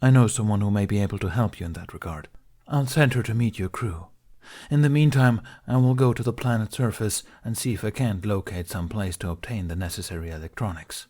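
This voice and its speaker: A man voicing a calm, commanding male Jedi master from a science fiction story, speaking in a formal, measured way. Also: on the low side, breathy edge. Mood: calm, businesslike. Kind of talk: acted audiobook dialogue in a science fiction story.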